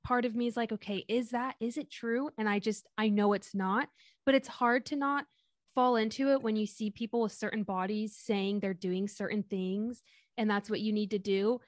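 A woman co-hosting a podcast in casual chat, with an excitable, giggly manner. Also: mid-range voice, moderate pace, no echo, studio quality. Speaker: podcast co-host.

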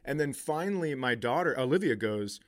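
Middle-aged man, mid-range pitch, comedian podcast host, casual storytelling, sweary, acts out quotes. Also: brisk. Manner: profane, animated retelling with acted-out quotes.

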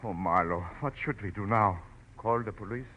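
A male actor playing an anxious, excitable client in an old radio drama, fretful and pleading. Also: on the low side, moderate pace, lightly acted. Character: agitated, anxious German male art-dealing client. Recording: dull, slightly noisy vintage radio recording.

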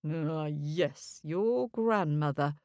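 A woman's voice: low voice, drawn-out words, dark tone, dry recording, studio quality, full character voice. Woman doing a roaring two-headed giant. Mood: booming, wheedling. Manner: roaring, bellowing. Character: huge two-headed male giant, greedy and tricky.

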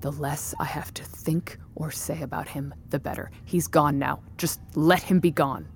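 A controlled company boss in a naturalistic audio drama. Her voice is very low for a woman, firm and measured, with formal phrasing and faint ambience behind it. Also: fairly even intonation, vocal fry, a little hiss or hum. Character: resolute, controlled female tech company chief.

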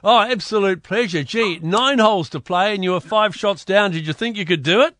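A man's voice: high-pitched, brisk, fairly bright tone, no echo, clean studio sound. A man hosting a radio interview, asking chatty, friendly questions. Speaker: radio host. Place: radio studio. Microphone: studio mic.

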